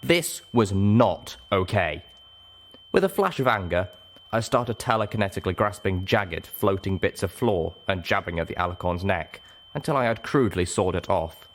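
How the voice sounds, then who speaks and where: A man reading fiction aloud as a dramatic first-person narrator, measured and expressive. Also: sits low. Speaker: audiobook narrator.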